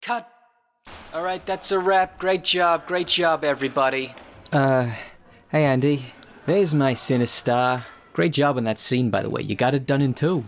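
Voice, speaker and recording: A man acting out a pragmatic film director in an audio drama. He talks briskly in a medium register, placating and a little dismissive, like someone weary of budget limits. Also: mid-range voice, a little hiss or hum, natural voice. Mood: upbeat, brisk.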